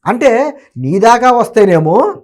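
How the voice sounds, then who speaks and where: A man's voice: extremely high pitch, big pitch swings, slow, a little mellow. A man giving opinionated political commentary as an interview guest, emphatic and argumentative, often posing rhetorical questions.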